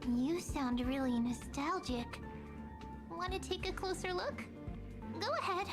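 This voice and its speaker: A teasing young female sprite from an anime game. She is playful and theatrical, her very high voice bouncing around expressively. Music plays clearly behind her. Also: lively intonation, measured pace, full character voice. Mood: gentle.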